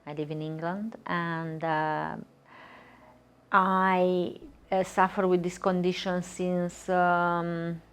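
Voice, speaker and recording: A woman's voice: lowish voice, flat delivery, slow pace, clean tone. A woman answering interview questions as a patient, hesitant and full of fillers and repeated words. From Romania.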